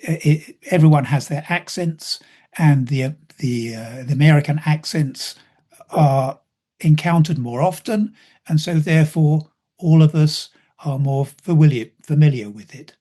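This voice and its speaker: British man, slightly husky, speaking thoughtfully. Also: fairly high pitch, a little slow, dark tone. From Britain. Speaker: symposium speaker. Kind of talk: unscripted discussion answer.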